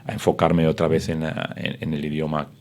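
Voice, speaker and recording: A man's voice: rumbling low, completely flat delivery, brisk. A middle-aged man, a sports broadcaster whose first language is Spanish, telling his story in an interview with many fillers and restarts.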